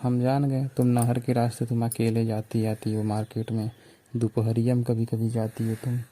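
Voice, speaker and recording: Menacing, insistent male suitor, played by a man for a phone drama; eastern Hindi-belt accent, flat delivery, very mellow dark tone. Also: mid-range voice, moderate pace, lightly acted. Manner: insistent, colloquial, domineering. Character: pushy, menacing male suitor making threats.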